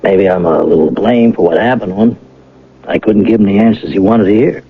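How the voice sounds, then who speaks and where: Sly cop in old radio play, husky lowish voice, needling and drawling, tinny sound. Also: male voice. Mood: sober. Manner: drawling, needling, mock-friendly with deliberate pauses. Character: shrewd, sardonic male police detective sergeant. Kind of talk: old-time radio drama acting. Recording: tinny, narrow vintage radio broadcast.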